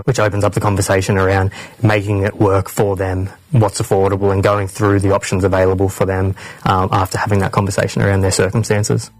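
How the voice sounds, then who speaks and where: An Australian man gives explanatory answers as an interview guest. His voice sits low and stays very even, recorded dry without room sound. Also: no room sound, low-quality recording. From Western Sydney, Australia. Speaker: team coordinator, interview guest.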